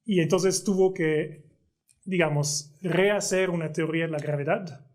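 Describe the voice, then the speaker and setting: Swiss guy, kinda high voice, stumbles and repeats words explaining physics. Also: fairly even intonation, a little slow. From Switzerland. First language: French. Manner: hesitant, repeats words while searching for terms. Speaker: guest physicist. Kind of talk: casual conversational explanation.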